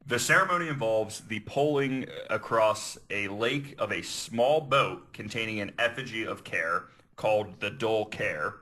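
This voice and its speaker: A man's voice: fairly low pitch, bright, forceful tone. A man hosting a podcast, earnestly explaining researched material and sometimes stumbling over his words. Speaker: podcast host. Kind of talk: reading researched notes, explanatory podcast talk.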